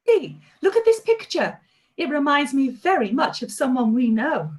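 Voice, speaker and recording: Radio play: woman as a lively aunt, high, animated voice. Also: normal speed. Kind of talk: live-read radio play.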